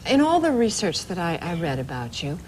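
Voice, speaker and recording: A woman in her thirties co-hosting a TV talk show, asking questions in a lively, encouraging way. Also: on the low side, a little fast, slight background noise, rough recording. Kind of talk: TV interview. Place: TV studio set. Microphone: lapel.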